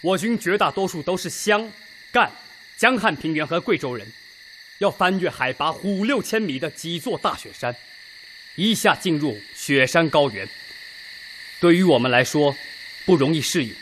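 An actor playing a resolute Red Army political leader, making an emphatic, weighty speech in a radio drama. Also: male voice, on the high side, expressive, moderate pace, pauses often, fairly bright tone, slight background noise. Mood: grave, emphatic. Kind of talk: Chinese radio drama acting.

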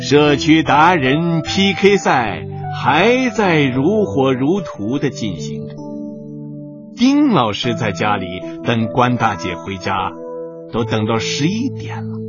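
A man's voice: mid-range voice, very animated, takes it slowly. A man narrating a comic radio drama in a scripted storytelling style. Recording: dull sound, music behind. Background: music.

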